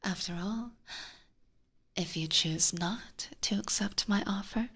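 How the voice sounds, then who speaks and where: A woman voicing a possessive, aristocratic vampire catgirl in a slow, seductive, teasing whisper for an ASMR roleplay, close on a binaural microphone. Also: medium pitch, fairly bright tone, exaggerated voice. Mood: smug, persuasive. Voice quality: breathy whisper. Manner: slow, formal, coaxing.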